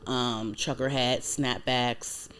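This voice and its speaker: A woman's voice: very deep voice, steady tone, takes it slowly, a bit bright, a little hiss or hum. Chatty woman in her late twenties. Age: 20s. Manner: chatty, many fillers and "like"s. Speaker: podcast host. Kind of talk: casual chat.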